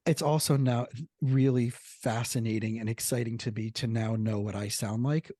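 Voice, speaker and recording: A man hosting a podcast, a therapist who talks excitedly and rambles with many restarts. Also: medium pitch, normal speed, dry recording, clean studio sound. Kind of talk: casual podcast chat.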